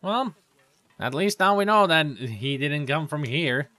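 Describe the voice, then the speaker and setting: A man's voice: fairly high pitch, lively intonation, unhurried, sharp tone, dry recording, soft background music. A man giving casual, rambling commentary over gameplay, with sarcastic asides. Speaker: let's play commentator.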